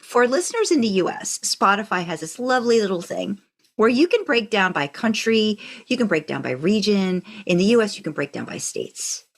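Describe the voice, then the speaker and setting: A woman co-hosting a podcast in a chatty, informal, animated way. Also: medium pitch, moderate pace. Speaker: podcast co-host. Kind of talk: casual podcast chat.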